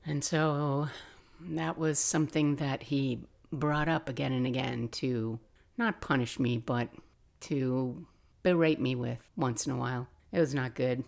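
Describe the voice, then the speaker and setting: An older woman hosting a podcast, telling personal stories in a casual, reflective, confiding and expressive way. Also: extremely low pitch, unhurried, gravelly. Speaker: podcast host.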